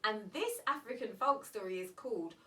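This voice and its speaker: A woman hosting a children's story show, telling tales in an expressive way with drawn-out emphasis. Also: medium pitch. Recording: roomy, distant mic, faint noise.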